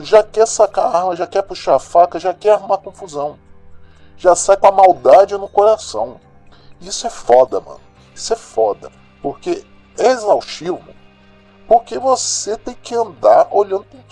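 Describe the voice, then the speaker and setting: A man's voice: high-pitched, mellow. A man playing a streetwise, opinionated Brazilian radio journalist in an audio drama, speaking colloquially and dramatically straight to listeners. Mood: exasperated, indignant. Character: streetwise, indignant male local radio crime journalist.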